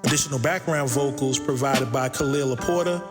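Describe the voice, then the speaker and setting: A man's voice: mid-range voice, fairly quick. A man reading scripted closing credits as an announcer. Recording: loud hip hop music underneath. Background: hip hop music.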